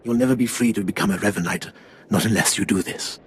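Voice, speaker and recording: An older actor playing a solemn, ceremonial cult elder in a video game, speaking gravely and deliberately. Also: male voice, fairly low pitch. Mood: stern. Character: solemn old cult elder testing initiates. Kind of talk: scripted video game dialogue.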